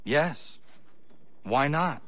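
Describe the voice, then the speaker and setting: An actor playing an earnest, solemn young apprentice in a video game, speaking in a formal, measured way. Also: male voice, a little high, lively intonation, fairly bright tone, full character voice. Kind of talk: dramatic game dialogue and solemn narration.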